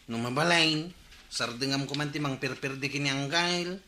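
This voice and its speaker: A man's voice: mid-range voice, normal speed, pushed and bright, slight background noise, close to an everyday voice. An actor with an Ilocano accent playing an earnest, admonishing village watchman in a radio comedy-drama. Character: earnest male village watchman, peacemaking nephew of the captain. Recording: roomy echo with music behind. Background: music.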